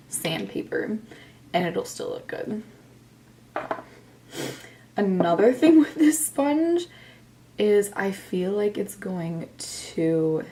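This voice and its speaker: A woman in her twenties chatting casually through a makeup first impression, a little hoarse from being sick, with lots of filler words. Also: on the low side, dark tone, slight background noise. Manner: chatty, self-deprecating, lots of "like". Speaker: beauty vlogger. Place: bedroom, indoors.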